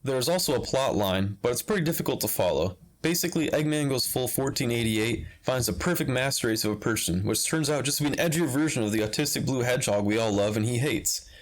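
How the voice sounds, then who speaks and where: A man's voice: mid-range voice, expressive, fast, hardly any pauses, a little hiss or hum, rough recording. A man narrating a scripted comedic video game review in a sardonic, crude joking manner. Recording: loud music behind the voice. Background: loud music.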